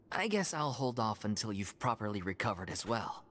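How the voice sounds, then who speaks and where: An actress voicing a blunt, teasing theater troupe member in a game. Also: female voice, very deep voice, fairly bright tone, in a large hall, exaggerated voice. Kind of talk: game voice acting.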